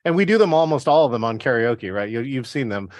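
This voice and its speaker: Relaxed man explaining, dry sound. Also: medium pitch, fast talker, no echo. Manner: relaxed, explains in long runs with "right?". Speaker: host and author. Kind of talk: casual interview chat. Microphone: video call.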